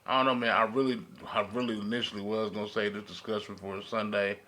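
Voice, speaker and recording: A man's voice: lowish voice, little pitch movement, fast talker, sharp tone. An American man talking as a panelist in a casual podcast discussion, informal and slangy, often repeating his phrases.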